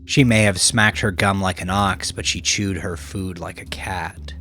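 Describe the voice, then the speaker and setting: A man's voice: low-pitched, steady tone, measured pace, sharp tone, faint music behind. A man reading a crime story as a dramatic, scripted audiobook narration.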